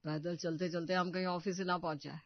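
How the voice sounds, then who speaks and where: A man's voice: high-pitched, level tone, fairly quick, dry recording. A man voicing a grumbling, office-going brother in a Hindi cartoon story, with a complaining manner. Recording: dull, muffled studio voice track.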